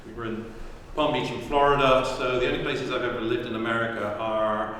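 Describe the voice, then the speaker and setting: A man's voice: medium pitch, little pitch movement, fairly quick, a bit bright. A man leading a church strategy workshop, chatting about himself in a self-deprecating way. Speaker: workshop leader. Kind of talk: workshop introduction. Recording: echoey room from far off with noise.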